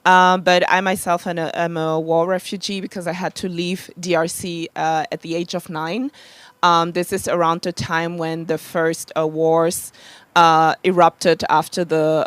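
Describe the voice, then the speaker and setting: A woman's voice: on the low side, no echo. A Congolese-born woman in her thirties speaking on a panel, hesitant and heartfelt, with many fillers. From Democratic Republic of the Congo. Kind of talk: live panel talk, casual.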